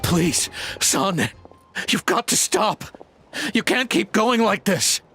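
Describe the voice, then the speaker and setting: Anguished father, slow and gravelly. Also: high-pitched, big pitch swings, sharp tone, soft background music, exaggerated voice. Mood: pleading. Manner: halting, pleading. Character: anguished, pleading father of a crazed warrior. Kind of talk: video game voice acting.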